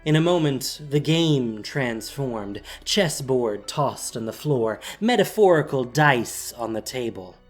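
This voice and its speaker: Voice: mid-range voice, expressive, takes it slowly, few pauses, faint music behind. A narrator reading a scripted, dramatic story for an audio drama.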